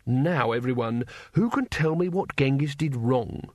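A man's voice: mid-range voice, animated, unhurried, dry recording, studio quality. A man voicing a fussy, patient schoolmaster in an audiobook, precise and coaxing as he instructs. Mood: weary reproach. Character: patient, fussy male schoolmaster civilizing unruly old warriors. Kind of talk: audiobook character acting.

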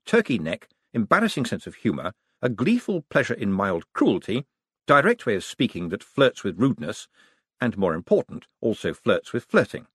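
A man voicing a wary young male con man with a dry, measured way of talking. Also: fairly low pitch, big pitch swings, a bit bright, breathy edge, dry recording, full character voice. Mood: sardonic.

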